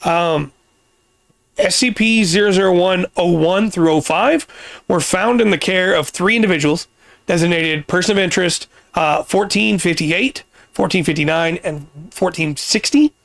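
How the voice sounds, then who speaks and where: Gravelly man explaining at length. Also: sits high, fairly bright tone, no room sound. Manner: explains at length, pauses and restarts. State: voice getting worn. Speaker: podcast host. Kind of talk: casual podcast explainer.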